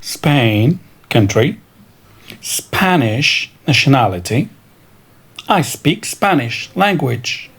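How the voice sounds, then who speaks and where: A man teaching English vocabulary, reading country and nationality words in a slow, deliberate drill. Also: medium pitch, big pitch swings. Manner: slow, deliberate, spells out each word. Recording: clear background noise.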